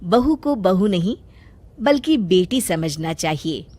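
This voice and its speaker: A woman's voice: lowish voice, animated, takes it slowly, mellow. A woman reading scripted storybook narration for a cartoon, as the storyteller.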